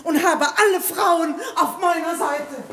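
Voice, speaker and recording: High-voiced, scolding bourgeois wife. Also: female voice, slow, very noisy. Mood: defiant. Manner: scolding, sarcastic, forceful. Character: sharp-tongued, defiant, suspicious bourgeois wife. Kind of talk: 1960 German radio comedy acting.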